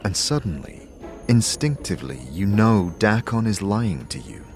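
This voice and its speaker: A man's voice: lowish voice, animated, moderate pace, darkish tone. A man narrating a scripted fantasy audio drama in the second person, as a game master would. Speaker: narrator and game master, also voicing the lead character. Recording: music under the voice.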